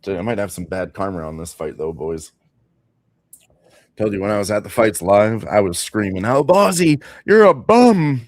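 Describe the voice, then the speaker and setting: A young man hosting a live fight watch party, talking loudly and swearing freely as he comments on the action. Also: low-pitched, moderate pace, long pauses, dry recording, studio quality. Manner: loud, profane, excitable storyteller.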